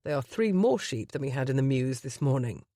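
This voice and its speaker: A woman reading an audiobook, voicing a grown man, a husband whose low husky voice sounds tender and wry. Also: lively intonation, fairly quick, dark tone, dry recording, studio quality. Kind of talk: audiobook romance character voice.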